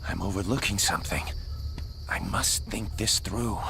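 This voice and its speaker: An actor playing a brooding, haunted warrior prince in a solemn, breathy dramatic game monologue. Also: male voice, lowish voice, normal speed, slight background noise. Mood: bitter, haunted. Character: brooding haunted warrior prince, adult man, grimly determined. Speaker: video game protagonist. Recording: loud music under the voice. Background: loud music.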